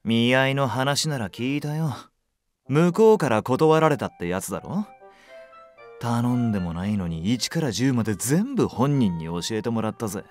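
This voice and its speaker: A man's voice: mid-range voice, expressive, moderate pace, a little mellow, soft background music, close to an everyday voice. A man playing a brash, sardonic young emperor in an audio drama, with a blunt, casual, teasing manner. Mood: dry, sardonic. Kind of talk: anime-style audio drama acting.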